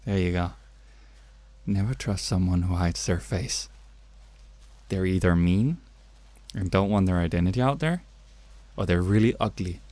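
A man's voice: low-pitched, measured pace, long pauses, dark tone, no room sound. A young man from Denmark with a Danish accent, chatting through a game with jokey, self-mocking asides at his home setup. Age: young adult. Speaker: gaming YouTuber. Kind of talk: let's play commentary. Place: home gaming setup, indoors.

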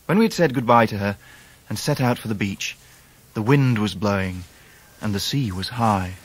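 A man's voice: fairly low pitch, normal speed, slightly rough, no echo, poor audio. An actor playing a reflective grown man narrating his youth in a radio drama.

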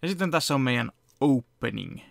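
Gravelly, dry-sounding man in his thirties. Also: mid-range voice, no room sound. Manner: relaxed, rambling, chuckles often. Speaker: fan dub editor and vlogger. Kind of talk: casual behind-the-scenes walkthrough. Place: home room with computer setup.

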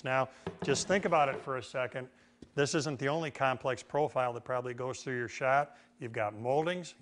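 Man, middle-aged, American accent, medium pitch, informal folksy explaining, instructional demo. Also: a bit bright. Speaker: woodworking instructor. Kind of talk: instructional demonstration to camera. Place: indoor woodworking shop.

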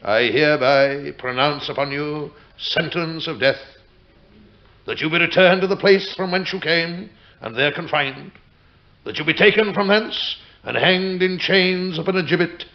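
A man's voice: on the high side, vocal fry. A male actor playing a stern, scornful Lord Chief Justice of old England in a period radio drama, with a British accent and imperious, archaic diction. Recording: dull vintage radio recording.

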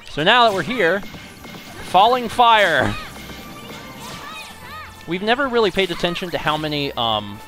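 A young man casually commenting on a co-op game as a let's play host, upbeat and chatty, thinking aloud while he plays. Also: mid-range voice. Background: game music and sound effects.

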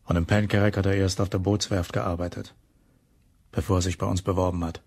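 An actor playing a troubled, conscientious lighthouse keeper in a German crime radio play, subdued and reflective. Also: male voice, low-pitched, little pitch movement, a little fast, a little mellow. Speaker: actor in an audio drama.

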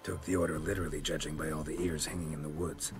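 Really low gravelly monster hunter, terse n deadpan, fantasy game, music under it. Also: male voice, quick pace. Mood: terse, stoic. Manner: terse, clipped, deadpan delivery. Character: gruff, stoic, weary adult male monster hunter. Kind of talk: cinematic fantasy game acting. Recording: music behind the voice.

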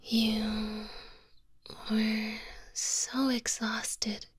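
Woman playing a slow, whispering vampire mistress, ASMR. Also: fairly high pitch, flat delivery, dry recording, heavily acted. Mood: softly coaxing. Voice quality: breathy whisper. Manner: slow, deliberate, theatrical. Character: sweet, haughty female vampire castle mistress, coaxing. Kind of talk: ASMR roleplay. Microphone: close binaural microphone.

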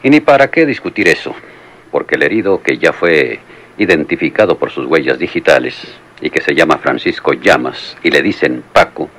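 A calm police lieutenant, very low. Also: male voice, normal speed, breathy edge, full character voice. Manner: calm, reasonable. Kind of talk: vintage radio drama acting.

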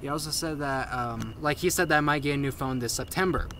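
A young man who stutters, giving a casual update to camera in a halting way, repeating and restarting his phrases. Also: medium pitch, background noise. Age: young adult. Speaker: video maker giving a channel update. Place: outdoors at night on a paved path.

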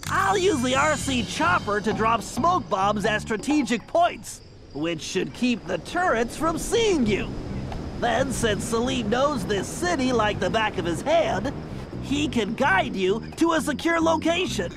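A man's voice: extremely high pitch, big pitch swings, measured pace, noisy background, heavily acted. A man playing a brainy, earnest cartoon turtle tech planner in a video game, with a precise, fussy manner. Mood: urgent planning. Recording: music behind the voice.

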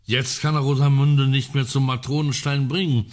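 A man acting in a German radio crime drama as a gruff, sardonic colonel who muses aloud emphatically. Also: medium pitch, steady tone, moderate pace, close to an everyday voice. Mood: musing, excited. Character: gruff, sardonic male colonel in an amateur sleuthing trio.

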